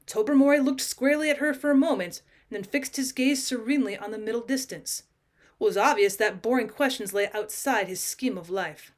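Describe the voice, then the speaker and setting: A woman's voice: a little high, a little fast. A woman narrating a classic short story as an audiobook, reading expressively like a teacher to her class. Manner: expressive, acts out dialogue.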